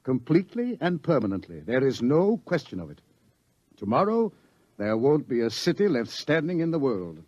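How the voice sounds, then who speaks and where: Old muffled radio play, grim doctor lecturing, theatrical, really animated voice. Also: male voice, medium pitch, dry recording. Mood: ominous. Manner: emphatic, theatrical, ominous pauses. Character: grimly certain scientist doctor, lecturing on coming madness. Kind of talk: radio drama acting. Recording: dull, dry vintage radio sound.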